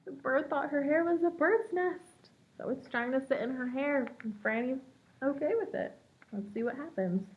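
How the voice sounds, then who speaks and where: A woman reading a children's picture book aloud in an expressive storytelling manner, as a preschool teacher does for young children. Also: high voice, moderate pace. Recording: dull lo-fi sound.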